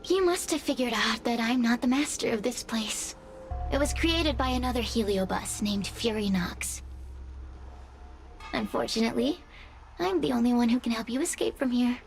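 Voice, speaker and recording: An actress voicing a hungry, sinister female fire spirit in a video game, speaking in a theatrical, teasing, seductive way with breathy moments. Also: on the high side, lively intonation, moderate pace, soft background music. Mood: sly, persuasive. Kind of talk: video game character acting.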